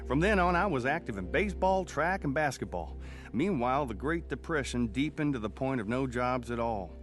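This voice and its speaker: A man's voice: mid-range voice, expressive, fairly quick, faint music behind, close to an everyday voice. An actor playing a folksy man narrating memories of his rural boyhood in an old-time radio drama. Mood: reminiscent. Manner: plain-spoken, reminiscing storyteller.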